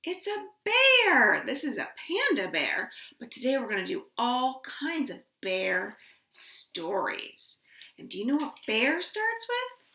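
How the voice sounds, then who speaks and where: A woman hosting a storytime for young children, speaking playfully and simply in an animated way, recorded indoors at home. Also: sits high, takes it slowly, creaky. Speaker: children's storytime host at a library. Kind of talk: children's storytime with songs and rhymes. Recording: roomy, echoing home recording.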